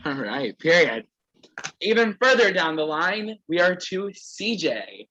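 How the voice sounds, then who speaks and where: Sassy slow-talking man hosting a video call. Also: on the high side, very animated, sharp tone, dull audio. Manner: playful, sassy catchphrase "period". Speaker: host of an online reality game. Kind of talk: casual video call introductions.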